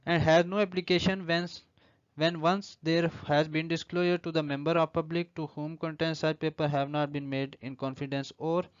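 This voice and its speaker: A man with a Pakistani accent teaches in a slightly high-pitched voice. He lectures in a deliberate, explanatory way, moving between reading formal clauses and explaining them. The recording is very dry, with a somewhat dull, lo-fi sound. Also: clean tone, no echo. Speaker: law lecturer.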